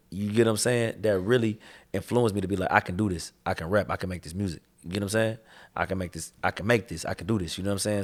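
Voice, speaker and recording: A young man from the Chicago area, a rapper talking casually in an interview, laid-back and slangy, often saying "you know what I'm saying". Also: lowish voice. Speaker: rapper guest.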